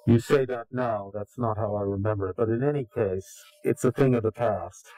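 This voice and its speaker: A man's voice: fairly low pitch, moderate pace, dark tone, dry recording, soft background music, poor audio. A man being interviewed, speaking in a contemptuous, indignant way. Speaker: anonymous interviewee. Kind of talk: recorded interview.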